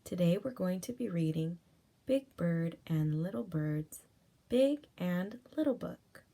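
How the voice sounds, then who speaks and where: Female picture-book reader for young kids. Expressive, melodic swings, takes it slowly. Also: fairly low pitch, creaky. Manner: slow, expressive delivery for young children. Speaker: read-aloud host and teacher. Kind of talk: children's picture book read-aloud.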